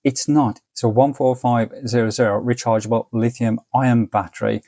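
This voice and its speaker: A man's voice: mid-range voice, flat delivery, moderate pace, warm tone, no echo, clean studio sound. A man presenting a casual product review, explaining details in a methodical, matter-of-fact way. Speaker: product reviewer and channel host.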